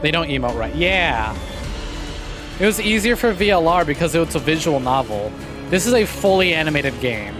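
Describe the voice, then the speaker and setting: A man's voice: on the high side, sing-song, moderate pace, music in the background. A man hosting a livestream, giving casual, conversational game commentary straight to his viewers. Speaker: livestream host.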